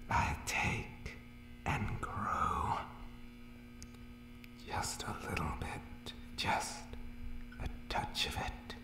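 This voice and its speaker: Unhinged monster barber, horror ASMR, very slow breathy whispering, pleading repetition, roomy sound. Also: male voice, fairly low pitch, a bit bright, heavily acted. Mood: obsessive, eerie. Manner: broken sentences, obsessive muttered repetition.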